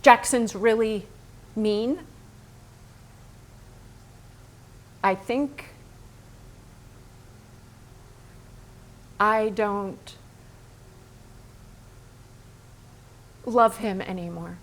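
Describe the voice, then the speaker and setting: A woman on stage playing a painter's anguished, yearning wife in a theatrical dramatic reading, with deliberate, halting phrasing. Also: mid-range voice, close to an everyday voice. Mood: fearful, subdued.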